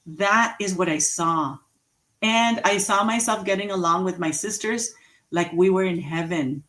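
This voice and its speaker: A woman in her forties giving an inspirational talk, slow and emphatic, repeating key phrases and laughing at her own jokes. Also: on the low side, fairly bright tone. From Mexican heritage, raised in the US. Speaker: motivational speaker. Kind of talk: inspirational speech.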